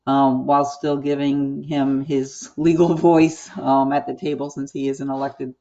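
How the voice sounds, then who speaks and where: An American woman speaks in an online meeting with a measured, explanatory manner. Her pitch moves little and she pauses as she goes. The call audio is slightly muffled and lo-fi. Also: little pitch movement, dark tone. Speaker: school board chair.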